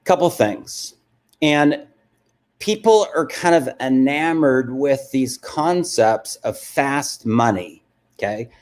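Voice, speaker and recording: A man's voice: mid-range voice, animated, takes it slowly, darkish tone. A man hosting a live stream, answering viewers and stressing points with frequent "okay?" check-ins. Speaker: host of a live business stream.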